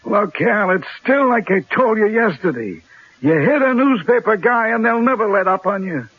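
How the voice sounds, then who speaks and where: An actor playing a wary, reluctant gangster henchman in a radio drama, raspy and colloquial with street-tough diction. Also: high voice, lively intonation, normal speed, no echo, heavily acted. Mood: wary, skeptical. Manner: cautious, colloquial. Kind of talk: 1970s radio drama acting. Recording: thin, tinny old radio broadcast.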